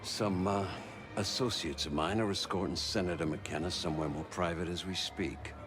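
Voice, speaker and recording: An actor playing a smug, wry spy fixer with a gravelly voice and a dry, knowing delivery in a video game cutscene. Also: male voice, deep voice, fairly even intonation, normal speed, lightly acted. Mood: wry, businesslike. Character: smug, wry old-school spy fixer doing shady deals. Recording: loud music behind the voice.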